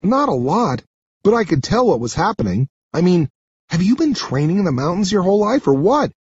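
A man voicing a spirited, excitable teenage girl in an audiobook, speaking emphatically. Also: sits high, a little fast, breathy edge, no echo, dull audio, pitched above the performer's own voice, heavily acted. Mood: amazed. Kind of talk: audiobook character dialogue.

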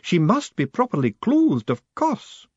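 A man voicing a formidable, prim older woman clerk who makes stern demands in a high, strained voice. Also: wildly swooping pitch, a little slow, slightly rough, no room sound, lo-fi sound, heavily acted.

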